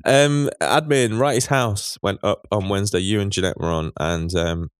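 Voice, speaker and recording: A man, co-hosting a podcast, a bit croaky and teasing in casual chat. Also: fairly low pitch, normal speed, dry recording, clean studio sound. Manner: teasing, banter-heavy. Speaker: podcast co-host.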